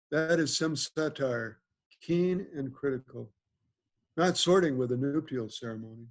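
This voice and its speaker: A genial Athenian duke played by a man speaks in stately Shakespearean verse. His voice sits a little high, with the lordly ease of a ruler in a stage play read over a video call. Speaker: amateur reader in a play. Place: remote video call, reading from home.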